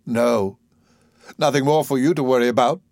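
A man's voice: medium pitch, moderate pace, gravelly, darkish tone, no echo, studio quality, natural voice. A man voicing a proud young working-class woman, emotional, bitter and defiant, in a play reading.

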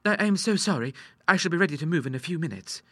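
Man putting on an airy, high-pitched young daughter. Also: expressive, fast, no room sound. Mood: calm, apologetic. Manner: polite, airy, earnest, hesitating. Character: eager, self-assured young daughter, calmly contented amid mischief. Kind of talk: audiobook character voice.

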